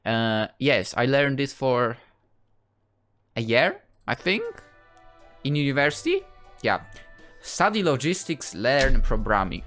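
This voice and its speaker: A man's voice: mid-range voice, fairly bright tone. A man giving casual let's play commentary, rambling and thinking aloud. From Poland. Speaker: gaming YouTuber.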